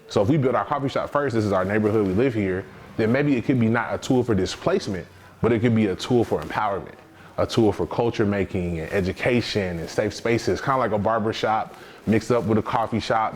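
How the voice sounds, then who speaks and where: Male small business owner, lowish pitch, conversational interview tone, light background noise.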